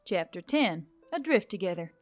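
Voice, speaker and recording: A woman's voice: medium pitch, big pitch swings, moderate pace, no room sound, faint music behind. A woman reading scripted fiction as an audiobook narrator.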